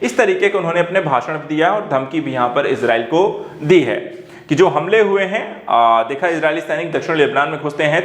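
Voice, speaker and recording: High-pitched man lecturing, echoey room. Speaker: teacher at an exam coaching academy. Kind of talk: explanatory current-affairs lecture.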